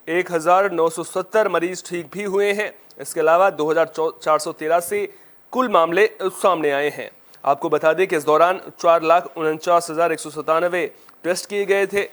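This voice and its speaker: High-pitched male news anchor. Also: fairly bright tone. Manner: formal, presentational. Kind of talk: scripted Hindi TV news bulletin reading.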